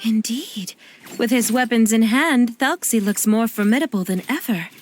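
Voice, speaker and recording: Woman as gentle, higher-voiced young mother. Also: a little hiss or hum, lightly acted. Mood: warm, polite. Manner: courteous, measured, kindly. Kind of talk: video game voice acting.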